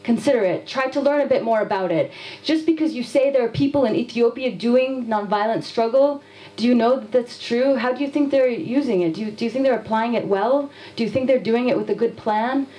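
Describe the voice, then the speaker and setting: A woman's voice: fairly high pitch, a little fast, warm tone, rough recording. A woman from Afghanistan, giving an interview answer in a direct, challenging way with rhetorical questions. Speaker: guest from a nonviolence institute.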